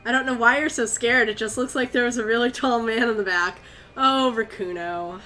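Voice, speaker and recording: A woman's voice: fairly high pitch, moderate pace, bright, forceful tone, faint music behind. A young woman from Connecticut streaming a horror game, chatting with viewers, easily spooked and swearing. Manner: jumpy, easily spooked, swears and chats with chat. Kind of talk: live gameplay commentary.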